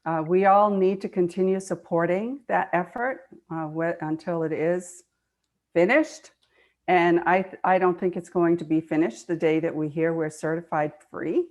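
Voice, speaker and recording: Low-voiced older woman, earnest explainer. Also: fairly even intonation, moderate pace, darkish tone. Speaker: guest speaker, nonprofit foundation trustee. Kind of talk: conversational answers in an online meeting.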